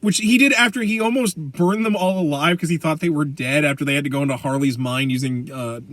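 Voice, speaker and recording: A man with an American accent co-hosting a podcast, chatting casually about pop culture in rambling, halting explanations. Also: on the high side, a bit bright, no echo. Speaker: podcast co-host.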